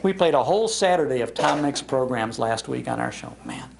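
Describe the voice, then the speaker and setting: An older man hosting a live talk, telling nostalgic stories in an animated, emphatic way. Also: mid-range voice, a little fast, vocal fry. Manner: animated storyteller, emphatic repetition. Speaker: radio show host giving a talk. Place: public library talk before an audience.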